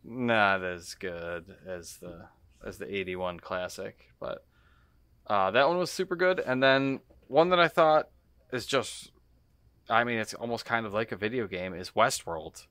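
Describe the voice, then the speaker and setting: A man with a fairly low, bright voice talks casually as a podcast co-host. His delivery is halting as he pieces thoughts together, and the sound is close and dry. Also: clean tone, no room sound. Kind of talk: casual chat.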